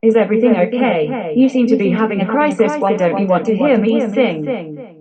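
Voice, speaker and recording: A woman voicing an eerie figure in an art video who speaks flatly and calmly and keeps asking if everything is okay. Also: mid-range voice, moderate pace, a loud crowd, natural voice. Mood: unsettling. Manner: flat, calm, repeating questions. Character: eerie woman voice claiming an angel's voice. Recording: over loud music.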